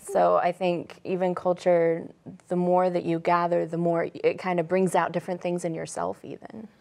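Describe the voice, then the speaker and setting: A woman's voice: on the low side, little pitch movement, moderate pace, gravelly, a little mellow. A woman in her thirties talking conversationally on a studio discussion panel, wearing a lapel mic. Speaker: panelist on a religious study show.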